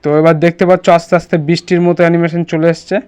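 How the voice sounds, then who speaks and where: High-voiced man giving a quick tutorial. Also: flat delivery, a little mellow, clean tone. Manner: instructional, often checks listener follows. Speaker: tutorial presenter. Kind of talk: casual step-by-step screen tutorial.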